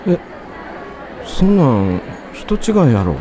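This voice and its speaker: A man's voice: mid-range voice, very animated, takes it slowly, a crowd in the background, noisy background, pitched below the performer's own voice. A man playing a naive, easily startled adolescent schoolboy in a radio drama. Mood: puzzled, disbelieving.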